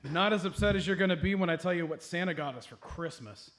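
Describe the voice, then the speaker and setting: A man's voice: fairly high pitch, quick pace, fairly bright tone, lightly acted. A man playing a boyish, impulsive sidekick, eager and melodramatic, in a live comedy radio play. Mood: unrepentant. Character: boyish, impulsive sidekick with a gift-shaking compulsion. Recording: live stage, faint noise.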